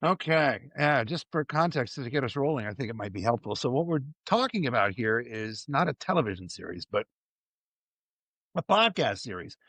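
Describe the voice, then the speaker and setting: A man's voice: mid-range voice, very animated, moderate pace, a bit bright, no echo. A man in a podcast discussion with a mid-range voice and very dry sound. He explains in a casual, conversational way, hedging and rephrasing as he goes. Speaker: podcast panelist.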